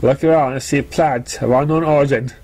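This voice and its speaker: A man reading a story aloud as an amateur narrator, with halting, stumbling delivery. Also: medium pitch, fairly even intonation, darkish tone. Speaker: amateur fan fiction reader.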